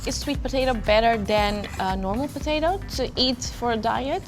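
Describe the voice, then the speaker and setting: A woman from Afghanistan, a guest in a kitchen, talking in a friendly way with frequent pauses and fillers. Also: a little high, music in the background. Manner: friendly, hesitant with many fillers. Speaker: guest, marketing manager. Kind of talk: casual chat.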